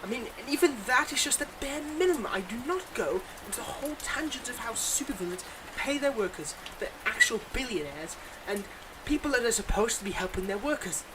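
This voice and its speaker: Supervillain, acted by a performer for audio roleplay: smug, mocking, playfully exasperated, mid-pitched, with clear background noise. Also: animated, a little fast, few pauses, natural voice. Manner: mocking, dramatic, addresses an unheard hero listener. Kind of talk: scripted ASMR audio roleplay.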